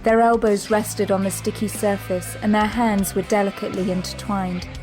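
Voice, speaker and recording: A woman reads the narration of a radio drama in a steady, descriptive manner. She paints each scene with care. Music plays clearly beneath her voice throughout. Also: medium pitch. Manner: measured, descriptive. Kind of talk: scripted narration.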